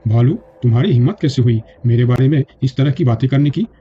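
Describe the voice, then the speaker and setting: A man's voice: mid-range voice, quick pace, husky, muffled recording, close to an everyday voice. Man as proud, domineering cartoon lion king. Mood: angry, commanding. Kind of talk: children's cartoon voice acting.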